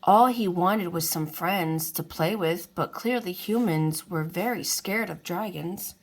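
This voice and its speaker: A woman reading a children's storybook aloud in an unhurried way, as if to young listeners. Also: fairly low pitch. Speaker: children's book reader. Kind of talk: read-aloud storybook narration.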